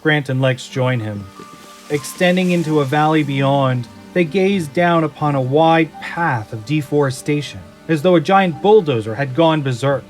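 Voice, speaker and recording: A man narrating a scripted audio drama in a measured, descriptive manner. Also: on the high side, animated, faint music behind.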